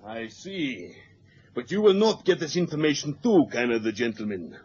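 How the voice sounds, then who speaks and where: Coldly polite foreign assassin, muffled recording. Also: male voice, mid-range voice, very animated, normal speed, darkish tone, slightly rough. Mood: gloating, threatening. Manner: courteous, menacing, stilted foreign phrasing. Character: cold, polite male foreign assassin with a gun. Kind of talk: 1970s radio drama acting. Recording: muffled, noisy old radio drama recording.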